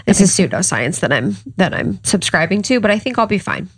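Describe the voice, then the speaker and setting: A woman's voice: mid-range voice, very animated, brisk, a little hiss or hum. A young woman co-hosting a podcast, chatting casually and laughing while she sounds stuffed up and congested. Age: young adult. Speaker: podcast co-host. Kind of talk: casual chat.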